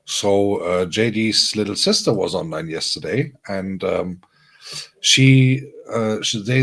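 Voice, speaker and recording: A man with a very low, husky voice chats loosely with his stream viewers. He talks in halting, rambling bursts, full of ums and restarts, as he runs through the week's plans. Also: a bit bright. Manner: friendly, announcing upcoming streams to viewers. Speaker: livestream host and DJ. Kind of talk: casual livestream chat.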